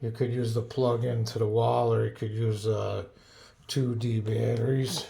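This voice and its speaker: A man giving a casual, unscripted product review in a halting, offhand, plain-spoken way. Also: mid-range voice, little pitch movement, gravelly, mellow.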